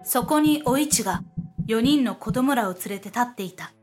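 A woman's voice: fairly high pitch, expressive, normal speed, darkish tone, soft background music. A woman narrator delivering scripted, dramatic narration for a historical audio drama.

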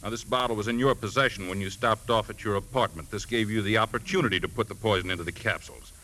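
An actor playing a blunt, probing police lieutenant in 1950s radio drama style, questioning briskly. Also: male voice, on the low side, sharp tone. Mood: pressing, accusing. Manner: brisk, pressing, clipped questioning. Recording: noisy 1950s radio broadcast recording.

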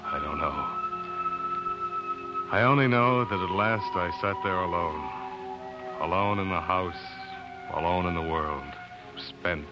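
Deep-voiced American earnest, haunted doctor-narrator, 1940s radio drama with music. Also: male voice, huge pitch swings, slow, pauses often, exaggerated voice, rough recording. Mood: somber, haunted. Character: earnest, grief-stricken doctor narrating his own tragic story.

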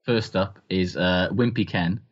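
A man from Wales with a low-pitched voice co-hosts a casual comedy chat. He talks in a hedging, conversational way and is readily amused, on a slightly dull recording. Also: a little slow. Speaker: podcast co-host.

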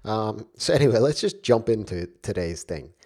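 A man's voice: fairly low pitch, expressive, normal speed, a little mellow, husky. A man with a stuffy, congested voice from a cold, hosting a solo podcast and talking straight to his listeners in a friendly way. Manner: friendly, direct address to listeners. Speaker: podcast host.

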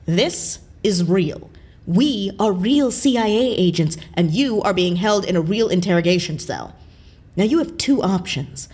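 An actress playing a stern, no-nonsense CIA interrogator in an audio drama, husky and firm with an authoritative manner. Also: female voice, medium pitch, lively intonation, a little slow, a little mellow. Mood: commanding.

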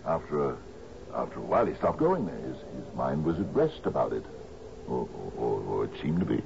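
An actor in a radio drama playing an anxious, genial older island captain, halting and stammering as he pleads. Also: extremely low pitch, very animated, vocal fry, exaggerated voice. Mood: anxious, pleading. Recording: muffled, hissy old radio broadcast.

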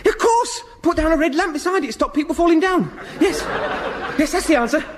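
Very high-pitched, boyish young Englishman. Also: lively intonation, brisk. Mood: flustered. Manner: eager, boyish, earnest. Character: eager, naive young bank clerk and home guard private. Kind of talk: radio sitcom acting. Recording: slightly noisy old radio recording.

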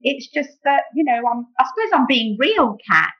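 A middle-aged woman with a British accent talks casually as a novelist being interviewed. Her voice sits fairly high, and her speech is chatty and conversational. The recording sounds muffled and a little dull. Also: very animated, normal speed, breathy edge. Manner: chatty, frequent "you know".

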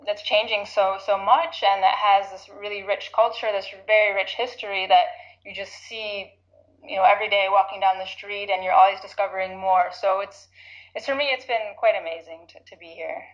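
A woman in her thirties from Chicago, talking reflectively in a casual interview, often pausing with fillers and restarting. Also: medium pitch, fairly even intonation. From Chicago, United States. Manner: reflective, thinks aloud with frequent fillers and restarts. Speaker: interview guest. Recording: slightly dull lo-fi audio.

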